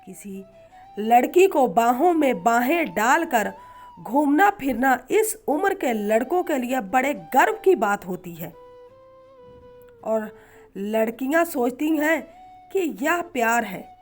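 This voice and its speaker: Slow, high-pitched woman narrator. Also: very animated, faint music behind. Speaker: story narrator. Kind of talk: scripted narration of a moral story.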